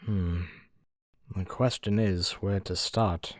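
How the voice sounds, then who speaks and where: A man's voice: deep voice, fairly even intonation, slow, no room sound, clean studio sound. A man voicing a troubled young skeleton monster in a comic dub, hesitant, brooding and full of guilt. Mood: guilty, hesitant. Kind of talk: amateur comic dub voice acting. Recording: music bed under the voice.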